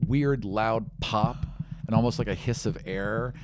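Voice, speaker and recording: A man's voice: on the low side, measured pace. A man improvising narration as game master of a tabletop role-playing game, with vivid, casual descriptive storytelling. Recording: clearly noisy background with clicks.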